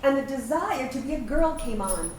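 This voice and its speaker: Woman playing a wistful veteran, high and animated. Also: moderate pace. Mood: fond reminiscence. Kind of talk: staged reading of a personal narrative play. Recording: roomy, distant microphone, faint background noise.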